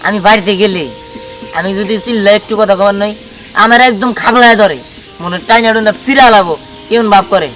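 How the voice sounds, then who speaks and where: A man's voice: high voice, a little fast, fairly bright tone, soft background music, low-quality recording. A man with a Bangladeshi accent playing a henpecked, exasperated master in a comedy drama, plaintive and grumbling. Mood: complaining. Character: henpecked, exasperated master with two domineering wives. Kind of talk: Bengali comedy drama acting.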